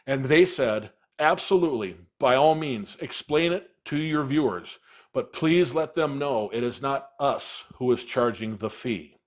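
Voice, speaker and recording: A man presents a hands-on product demonstration in a casual way. His voice sits at an average pitch, and he explains each step in plain, practical words. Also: lively intonation, unhurried. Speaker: product reviewer, video presenter.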